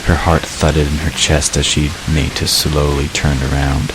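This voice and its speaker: A man's voice: extremely low pitch, monotone, unhurried. A man narrating a dramatic fantasy story from a script. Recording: clear hiss behind the narration.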